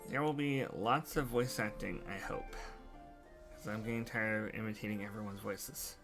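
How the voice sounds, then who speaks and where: A man's voice: fairly low pitch, fairly even intonation, normal speed, music in the background. A man talking casually as he presents his video game playthrough. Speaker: let's-play creator.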